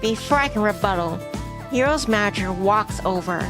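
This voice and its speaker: A woman's voice: medium pitch, animated, moderate pace, music in the background. A woman streamer narrating a visual novel playthrough, reading the story and dialogue aloud expressively.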